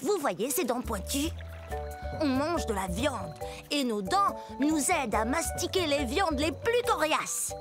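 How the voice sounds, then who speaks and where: A woman's voice: high voice, animated, measured pace. A woman voicing an eager, boastful young child dinosaur in an educational cartoon, talking theatrically to the viewer. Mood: boastful, excited. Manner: theatrical, addressing the viewer directly. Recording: music behind the voice.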